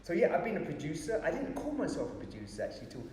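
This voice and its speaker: A man in his fifties, from Pakistan and raised in North London, talking casually about his work as a dance producer, animated and laughing often. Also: a little high, very fast. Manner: animated, laughs often, frequent "you know". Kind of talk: casual interview. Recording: echoey hall with faint noise.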